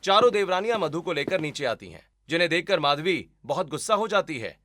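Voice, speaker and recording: A man's voice: a little high, sing-song, a little fast, a bit bright, slight background noise. A man narrating a scripted cartoon family story.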